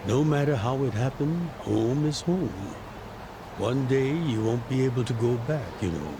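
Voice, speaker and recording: An actor voicing a calm, fatherly middle-aged yakuza boss, measured and gently admonishing, in an English-dubbed game. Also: mid-range voice, noisy background.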